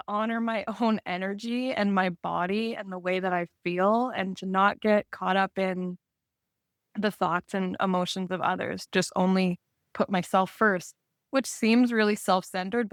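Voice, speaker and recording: A woman co-hosting a podcast, speaking at a middle pitch in a casual, reflective chat. She laughs easily mid-sentence. The sound is dry and close, with very little room. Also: dry recording. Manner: laughs easily while talking. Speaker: podcast co-host.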